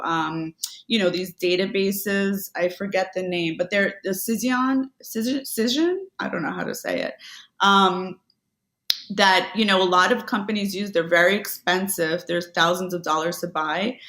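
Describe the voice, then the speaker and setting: A woman guest on a business podcast, talking casually about selling online, with quick asides and self-corrections. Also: fairly low pitch, steady tone, fairly bright tone, roomy sound. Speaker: podcast guest, Amazon seller. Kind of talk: casual conversational interview.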